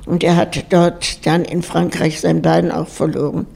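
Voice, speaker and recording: A woman's voice: on the low side, level tone, measured pace, gravelly, mellow, slightly rough. A woman in her eighties from Germany, recounting her memories in an interview in short, plain phrases. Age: 80s. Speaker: interviewee, home resident.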